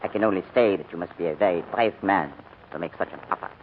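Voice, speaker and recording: An actor playing a cold, formal German army major who interrogates with clipped menace in a 1940s radio drama. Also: male voice, low voice, fast talker, natural voice. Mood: puzzled, stern. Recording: dull, noisy 1940s radio recording.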